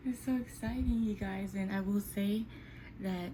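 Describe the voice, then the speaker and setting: A woman's voice: mid-range voice, steady tone, a little slow, very noisy. A woman of about thirty chatting casually and candidly to her viewers in a confiding, conversational way, addressing them directly. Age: 29, almost 30. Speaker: vlogger speaking to her viewers. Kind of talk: casual, candid sit-down chat.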